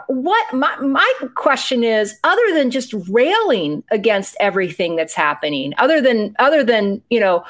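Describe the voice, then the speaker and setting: Woman, podcast host, indignant, questioning, somewhat high pitch. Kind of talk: political discussion.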